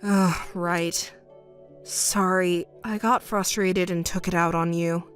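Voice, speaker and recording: A woman's synthetic voice playing an evasive, sheepish man who cheated on his girlfriend, pleading slowly in an audio drama. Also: on the low side, fairly even intonation, faint music behind, voice pushed down.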